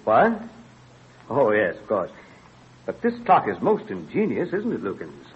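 Genial, animated gentleman scholar. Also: fairly low pitch, a little slow, husky, exaggerated voice. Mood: impressed. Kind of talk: old-time radio drama acting. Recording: dull, noisy vintage radio recording.

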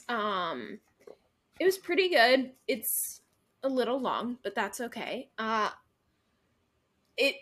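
A young woman co-hosts a book club podcast and talks through the story in loose, chatty recaps. Her voice sits a little high, and she leans on frequent fillers while she talks. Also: fairly bright tone. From Midwestern United States. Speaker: podcast co-host. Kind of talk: casual book review chat.

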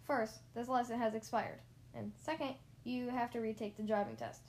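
A strict, bossy rodent driving instructor from a children's storybook, acted out by a woman. He barks orders and scolds in a very high voice, with no patience for excuses. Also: steady tone, normal speed, a little mellow, natural voice. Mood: stern. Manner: barking commands, scolding.

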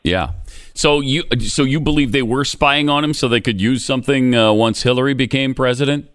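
A man's voice: mid-range voice, normal speed, fairly bright tone, dry recording, clean studio sound. A man co-hosting a radio talk show, taking callers and chatting casually with a wry, laughing manner.

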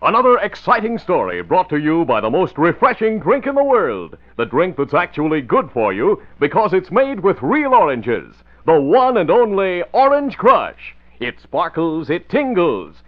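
A man's voice: high voice, huge pitch swings, unhurried, few pauses. A man announcing and narrating in a polished, emphatic 1940s radio style, reading from a script. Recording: muffled vintage radio broadcast recording.

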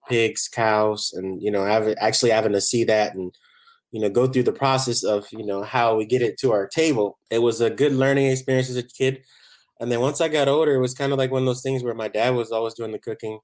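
A man from Barbados, chatting casually as a chef guest in a relaxed, rambling way. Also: lowish voice, a little fast. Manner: relaxed, rambling, many "you know" fillers. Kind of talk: casual interview chat.

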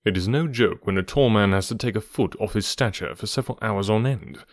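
A man voicing a calm, urbane Victorian gentleman detective with a nonchalant manner, in an audiobook story. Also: lowish voice, a little fast, no echo, clean studio sound, lightly acted. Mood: composed, matter-of-fact. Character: tall, keen, composed Victorian gentleman detective.

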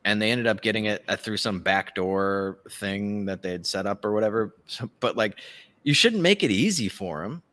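A man's voice: lowish voice, expressive, moderate pace, a bit bright. A man giving casual, profane political commentary as a podcast host, ranting and mockingly acting out what others say.

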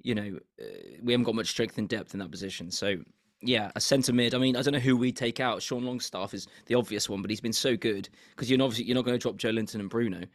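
A man's voice: mid-range voice, no echo, clean studio sound. Young Englishman with a strained, cracking voice. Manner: hesitant, repeats himself, measured. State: losing his voice, goes squeaky. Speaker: podcast co-host. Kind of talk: casual football chat.

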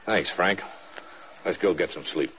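An actor playing a grave, weary military commander, speaking earnestly and soberly in a radio drama. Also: male voice, low voice, a bit bright, slight background noise. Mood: grave, urgent. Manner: earnest, measured, sombre. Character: grave, weary senior male commander confiding in a subordinate. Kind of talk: mid-century radio drama acting. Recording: dull old radio recording.

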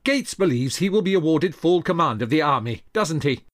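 A man's voice: on the high side, animated, moderate pace, a bit bright, pitched above the performer's own voice. A man voicing a hot-tempered young army colonel, vehement and emphatic, in historical audiobook dialogue. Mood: urgent.

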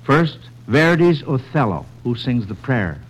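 A man's voice: medium pitch, big pitch swings, measured pace, husky, rough recording. An American man hosting a quiz show in the scripted style of old radio, reading out questions on a vintage 1940s broadcast.

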